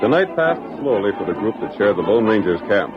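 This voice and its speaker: Animated old-time radio narrator, a man. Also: lowish voice, a little fast. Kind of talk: dramatic scripted 1940s radio narration. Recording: muffled old radio recording. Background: music.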